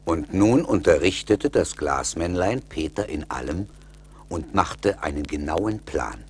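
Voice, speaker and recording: A man's voice: sits low, animated, a little slow, breathy edge. A man narrating a scripted fairy tale in a radio play.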